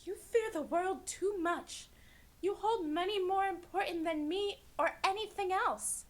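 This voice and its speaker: A woman's voice: very high-pitched, measured pace. A woman playing a hurt, resigned young fiancée in a radio drama. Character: hurt young fiancée, gently breaking off her engagement.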